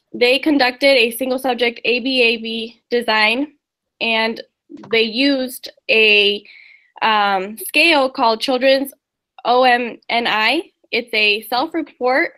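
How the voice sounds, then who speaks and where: A woman's voice: a little high, bright, forceful tone, rough recording. A young woman from Indiana giving a class presentation, summarizing research articles hesitantly from her notes. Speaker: student presenter.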